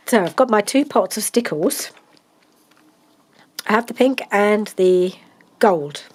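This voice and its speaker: A woman's voice: on the low side, a little mellow. A woman, chatting casually about her crafting project, congested from a cold and rambling with many ums. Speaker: craft vlogger.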